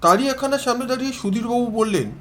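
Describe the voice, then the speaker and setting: A man's voice: extremely high pitch, fast talker, fairly bright tone. A man reading a scripted story aloud as a dramatic audio narrator.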